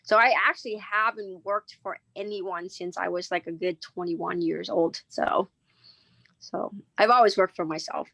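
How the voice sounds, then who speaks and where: A woman's voice: lowish voice, steady tone, unhurried, vocal fry, a bit bright, no echo, rough recording. A woman in her forties from Vietnam, answering interview questions in a casual, chatty way with many fillers and restarts. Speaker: business owner guest.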